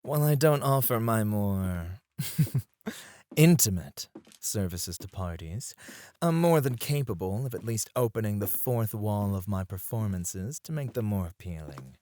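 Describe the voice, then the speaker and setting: A man acting a flirtatious, self-assured male stripper in an ASMR roleplay, suave and teasing, with breathy asides, close on the microphone. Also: lowish voice, animated, unhurried, a little mellow, no room sound. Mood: smooth persuasion. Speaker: ASMR voice actor.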